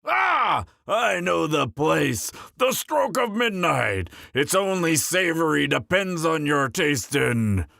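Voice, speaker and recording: A man voicing a boastful, bawdy male dwarf hunter with a gravelly voice, rowdy and loud. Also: fairly high pitch, sing-song, slow pace, few pauses, dry recording, clean studio sound, heavily acted. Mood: boisterous. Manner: rowdy, boastful, jokey, shouts often. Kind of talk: fan audio drama roleplay.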